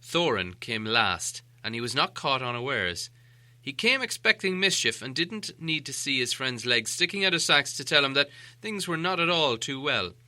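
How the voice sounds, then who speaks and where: A man reading a storybook aloud as an animated audiobook narrator. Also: medium pitch, moderate pace.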